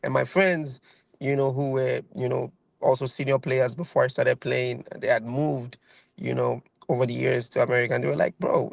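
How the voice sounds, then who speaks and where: A man's voice: mid-range voice, gravelly, no room sound. A man in his thirties with a Nigerian accent, a guest violinist chatting over a video call, rambling with lots of fillers and repeated phrases. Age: 30s.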